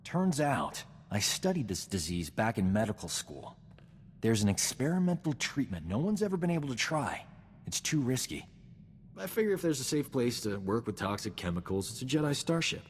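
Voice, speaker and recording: Cocky doctor in a cavernous space. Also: male voice, medium pitch, sing-song, normal speed. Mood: boastful. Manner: cocky, self-admiring, smooth-talking. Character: vain, flirtatious male doctor, boastful charming rogue. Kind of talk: video game cutscene acting.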